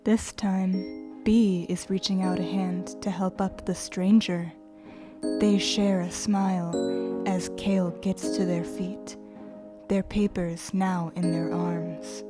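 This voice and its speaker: A woman's voice: lowish voice, level tone, slow, over loud music. A woman narrating a scripted audio drama.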